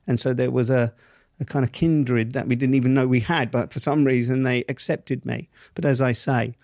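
A middle-aged man with a South East London accent, giving reflective interview answers in a measured, emphatic way with slow, deliberate stresses. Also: medium pitch, steady tone, a little mellow, no room sound, studio quality. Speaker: interview guest.

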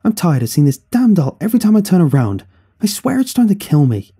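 A man's voice: medium pitch, huge pitch swings, brisk, mellow, breathy edge, heavily acted. A man voicing a sulky, exasperated boy who whines and complains in a dramatized story. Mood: angry. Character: sulky, exasperated boy arguing with his mother. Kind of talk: scripted story dialogue.

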